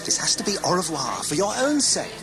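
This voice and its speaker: A man's voice: high voice, big pitch swings, fairly quick, poor audio. A man acting a grave, protective figure in a sci-fi audio drama. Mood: solemn, protective. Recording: voice under loud dramatic music. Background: loud music.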